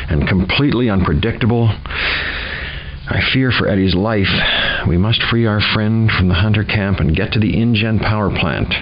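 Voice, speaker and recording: An actor playing a gloomy, wry scientist who narrates mission notes in a video game, dry and foreboding. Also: male voice, on the low side, close to an everyday voice. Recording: dull lo-fi game audio.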